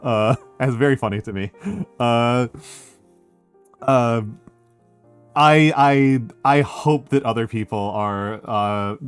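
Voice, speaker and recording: Rambling American man chatting on stream. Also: on the low side. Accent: American English. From United States. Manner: rambling, many fillers and repeated phrases. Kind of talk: casual livestream chat reading viewer messages.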